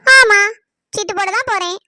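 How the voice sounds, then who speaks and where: A woman's voice: extremely high pitch, normal speed, bright, forceful tone, exaggerated voice. A woman voicing an evasive, easily irritated village woman in a cartoon comedy, grumbling. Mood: indignant. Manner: grumbling asides, indignant retorts.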